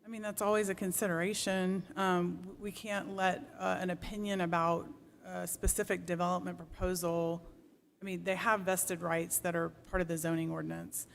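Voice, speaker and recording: A city planning staffer explaining regulations at a public meeting in a measured, careful, explanatory way. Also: medium pitch, little pitch movement, gravelly, fairly bright tone. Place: public commission meeting room. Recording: noisy meeting room ambience.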